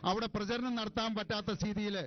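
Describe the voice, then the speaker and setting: A man making forceful rebuttals as a panelist in a political debate. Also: high voice, flat delivery, fairly quick. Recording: muffled, noisy outdoor crowd.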